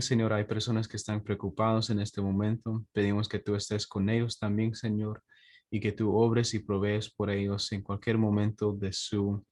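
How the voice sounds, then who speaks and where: Guy pastor from texas doing a casual morning devotional, lowish voice, lots of hesitating between phrases. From Texas, United States. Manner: halting, with frequent drawn-out hesitations. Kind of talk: informal morning devotional with prayer and personal stories.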